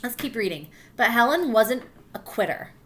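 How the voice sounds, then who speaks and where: A woman teacher reads a picture book aloud to her class and stops often to explain what they are reading. Her voice is bright and clear, and she keeps checking that the children follow along. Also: on the high side, lively intonation. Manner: warm encouraging teacher voice, pausing to explain.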